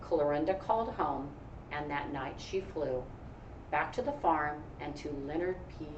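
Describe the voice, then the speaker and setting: A woman's voice: sits low, lively intonation, unhurried, muffled recording. American woman reading aloud in a roomy space. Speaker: teacher reading a picture book aloud. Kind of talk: read-aloud with casual discussion. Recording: roomy, distant mic, faint background noise.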